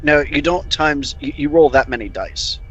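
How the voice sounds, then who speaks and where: A man's voice: a little high, lively intonation, normal speed, muffled recording, crowd noise. A man casually advising on rules during a tabletop roleplaying game.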